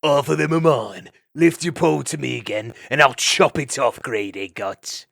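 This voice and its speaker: A man's voice: medium pitch, measured pace, pushed and bright, no echo, studio quality. A man voicing a skinny, vicious male weasel in an animal fantasy story. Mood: snarling.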